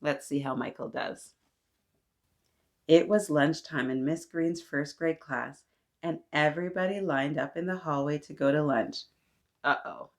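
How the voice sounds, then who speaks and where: A woman reading a children's story expressively, adding animated asides to young listeners. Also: deep voice. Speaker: children's story reader and host.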